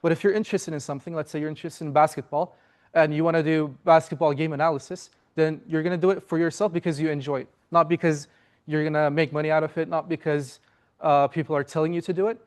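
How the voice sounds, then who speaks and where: A young man from Turkey gives an earnest, informal presentation to a student club, pausing often on "uh". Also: on the high side, fairly even intonation, a little mellow. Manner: earnest and explanatory, with frequent "uh" fillers.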